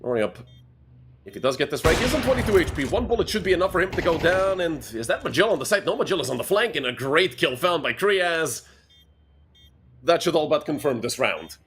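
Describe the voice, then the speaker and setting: A man's voice: on the high side, a bit bright, slight background noise. A young man from Serbia casting an esports match alone, chatting with viewers between plays. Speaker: esports caster. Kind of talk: solo play-by-play commentary.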